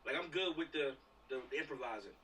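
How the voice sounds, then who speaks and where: A man's voice: fairly high pitch, lively intonation, fast, bright, forceful tone. An American man chatting casually as a film crew member on an online video meeting. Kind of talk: casual chat. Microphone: video call. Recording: noisy, distant video call audio.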